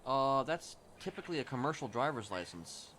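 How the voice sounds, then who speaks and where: A man's voice: medium pitch, sing-song, a little fast, fairly bright tone. A man playing an easygoing, agreeable male character in improvised game roleplay, chatting and explaining casually over faint background noise. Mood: helpful.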